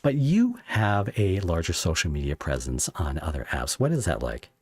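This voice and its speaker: A man hosting a casual interview, asking rambling questions in a halting way. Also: low-pitched, huge pitch swings, darkish tone, husky, no echo. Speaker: podcast host interviewing a creator.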